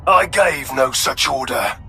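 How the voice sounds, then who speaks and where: Gravelly, imperious Sith lord. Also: male voice, medium pitch, animated, a little slow, pushed and bright, faint music behind, full character voice. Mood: indignant, threatening. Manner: imperious, menacing, theatrical. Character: haughty, wrathful Sith lord of a ruthless space empire. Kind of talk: dramatic video game acting.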